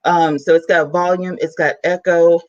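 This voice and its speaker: A woman YouTuber, chatting excitedly to her viewers from home while she sets up a new microphone. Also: on the low side, moderate pace, dull audio. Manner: chatty, excitable, talks to chat. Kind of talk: casual vlog chat to viewers. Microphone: camera mic, then a new plug-in USB mic.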